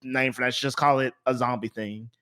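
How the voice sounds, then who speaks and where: A man's voice: medium pitch, steady tone, normal speed, sharp tone, clean tone. A man hosting a podcast in a casual, conversational way. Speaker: podcast host.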